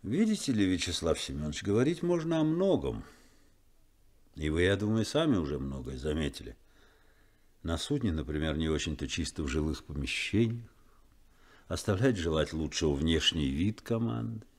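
An actor playing a principled first mate in a 1970s Soviet radio play, speaking in a measured, probing manner. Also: male voice, sits low, very animated, heavily acted. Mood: earnest. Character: principled ship's first mate, calm, probing male officer.

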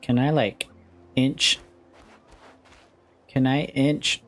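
A young male streamer comments casually on a game in a mid-pitched voice. He talks slowly with playful, sarcastic asides, while game music plays softly behind him. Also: little pitch movement. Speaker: gaming streamer.

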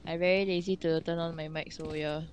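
A woman's voice: deep voice, level tone, brisk, background noise. A woman chatting casually and giggling while playing a shooter game with friends. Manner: giggly, laid-back, blames her lag. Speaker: teammate. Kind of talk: casual gaming chat.

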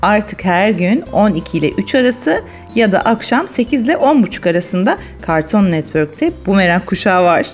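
A woman's voice: mid-range voice, sing-song, moderate pace. Lady hosting a home game w kids, casual chat, bit of music behind her. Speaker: family vlog host. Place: living room at home.

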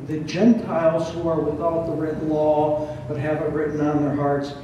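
An older man in his sixties teaches scripture from the front of a very echoey room, sounding a little distant. His voice sits high for a man and is soft-edged and mellow. He explains deliberately, posing questions as he goes. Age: 60s. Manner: deliberate, didactic, with rhetorical questions. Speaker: pastor teaching a Bible study. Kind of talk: expository sermon, reading and explaining scripture. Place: barn hall with a stage, indoors. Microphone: headset. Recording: roomy, echoing, distant sound with faint noise.

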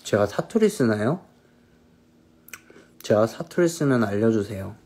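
A man in his thirties chatting casually on a phone live stream, answering viewers' questions in a relaxed, easygoing way. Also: lowish voice, unhurried, slightly rough. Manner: relaxed, answers viewers' questions, drifts between snacks and hobbies. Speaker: singer hosting a live stream. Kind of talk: casual live-stream chat reading comments.

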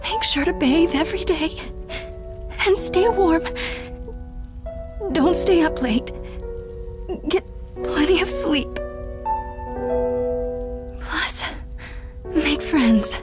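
An actress playing a tender, dying young mother in a video game, weak, breathy, tearful and halting. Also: very high voice, animated, over loud music, exaggerated voice. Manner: halting, gentle.

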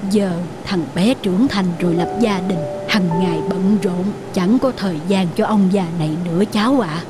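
A man's voice: sits high, moderate pace, loud music behind the voice, lightly acted. A man voicing a wistful, ageing father who revisits his old home in a cartoon. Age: older. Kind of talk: cartoon dubbing.

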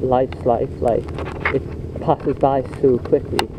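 A man's voice: mid-range voice, level tone, slow pace, highs cut off. A young man vlogging as he walks along a riverside path, talking in a reflective, rambling way with lots of fillers, recorded on wireless earbuds. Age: young adult. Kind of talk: casual walking vlog. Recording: outdoor street noise. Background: traffic and outdoor ambience.

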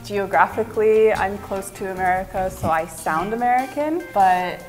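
A woman's voice: medium pitch, moderate pace, soft background music. A young Canadian woman in a casual panel chat, hesitant and prone to restarting her words. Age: young adult.

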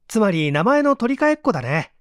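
A man voicing an eager, scheming mystery writer with an animated, persuasive manner in a storybook reading. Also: high voice, fairly quick, dry recording, studio quality, pitched above the performer's own voice. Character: scheming male mystery writer, eager, pleased with his mischief.

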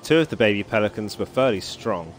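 A man's voice: on the low side, animated, a little fast. A man streaming a game at home, chatting casually, musing aloud and reading on-screen story text, with frequent chuckles. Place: home, at the computer. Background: faint game music.

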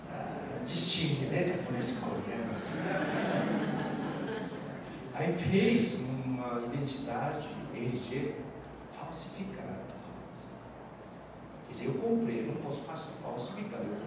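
A middle-aged man, a pastor giving an earnest sermon testimony into a handheld mic on a church stage, with halting phrasing and frequent restarts. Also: mid-range voice. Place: church stage with large hall. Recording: echoey hall from far off with background noise.

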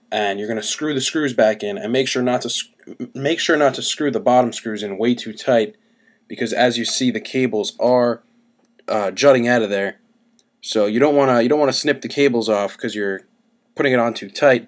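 A young adult man giving a casual how-to explanation, speaking into a webcam at home. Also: lowish voice. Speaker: DIY music gear demonstrator. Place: small cluttered room at home. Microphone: webcam about arm's length away.

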